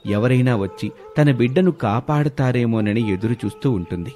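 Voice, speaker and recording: A man's voice: mid-range voice, normal speed, dark tone, soft background music. A man reading a scripted children's moral story as narrator.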